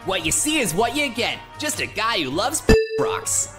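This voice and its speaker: Cocky, goofy young hedgehog hero played by an actor for a game parody dub; offhand, swinging pitch, loud music behind. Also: sing-song, normal speed, lightly acted. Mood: playful. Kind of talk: improvised comedic game fandub.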